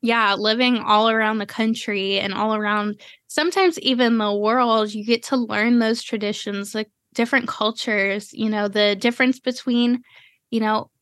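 A woman hosting a podcast in casual interview chat, talking quickly with lots of fillers. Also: fairly high pitch, steady tone, a bit bright, no room sound, studio quality. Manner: chatty, quick, with frequent "you know" fillers. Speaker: podcast host.

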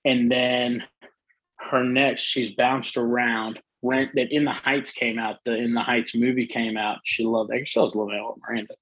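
A middle-aged man talks eagerly in a casual interview, his soothing voice a little husky. The sound is dry and close, with no room behind it. He restarts often and fills pauses. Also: medium pitch, little pitch movement, fairly bright tone, no echo, poor audio. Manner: enthusiastic, many fillers and restarts. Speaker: guest.

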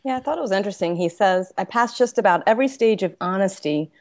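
A woman's voice: medium pitch, expressive, quick pace, darkish tone, no echo. A woman co-hosting a casual podcast chat in a playful, teasing way. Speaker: podcast co-host. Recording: dry, dull lo-fi sound.

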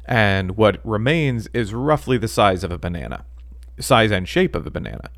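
A man co-hosting a podcast in casual chat, talking haltingly with many ums and restarts. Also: lowish voice, very animated, vocal fry, a bit bright, no room sound, studio quality. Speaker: podcast co-host.

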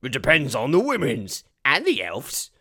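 Squabbling orc grunt, crude bad grammar, high and swooping, bragging and touchy. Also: male voice, wildly swooping pitch, normal speed, pushed and bright, slightly rough, full character voice. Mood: indignant, mocking. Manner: ungrammatical rustic speech. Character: squabbling male orc soldiers, crude, boastful and indignant.

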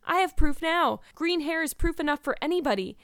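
A woman's voice: high-pitched, expressive, a little fast, fairly bright tone, no echo, clean studio sound, voice pushed up. A woman voicing a despairing, wordy young girl in a storybook, pleading and earnest in a melodramatic way. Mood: earnest, defensive.

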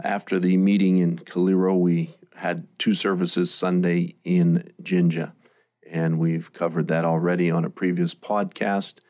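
Deep-voiced podcast host saying amen. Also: male voice. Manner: warm, affirming, frequent amens. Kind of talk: interview on a mission trip.

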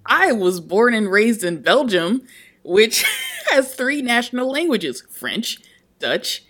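Woman co-host reading a post, animated and expressive, laughs at her own stumbles. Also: fairly high pitch, takes it slowly. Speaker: podcast co-host. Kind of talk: reading a post aloud, casual chat.

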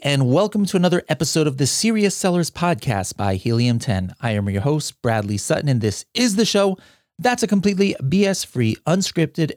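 A man's voice: medium pitch, a little mellow, no echo, clean studio sound. A man hosting a podcast, chatting casually and joking with guests, with lots of fillers and restarts. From United States. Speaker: podcast host. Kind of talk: casual interview chat.

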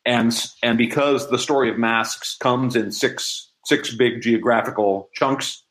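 A man's voice: medium pitch, steady tone, measured pace, a bit bright, poor audio. A man speaking as an interview guest, explaining things in a casual, conversational way. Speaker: interview guest, audio drama producer.

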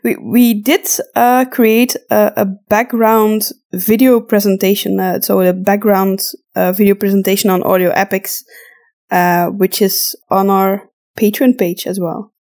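A woman's voice: medium pitch, level tone, a little slow, darkish tone. A woman co-hosting a podcast, casually reading and answering questions from listeners. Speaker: podcast co-host.

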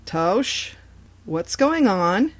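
A woman's voice: medium pitch, sing-song, very slow, heavily acted. A woman voicing an anxious young tenant in a scripted audio horror story. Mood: alarmed, questioning.

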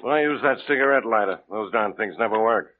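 A man's voice: lowish voice, fairly quick, close to an everyday voice. An actor playing a dry, sarcastic male police inspector in 1940s radio-drama style. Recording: narrow phone-like old radio broadcast.

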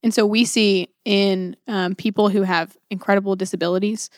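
A woman's voice: mid-range voice, steady tone, normal speed, no room sound. Woman, mid-range voice, earnest and reflective, conversational faith discussion, repeats phrases for emphasis, dry sound. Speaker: co-host of a book discussion series.

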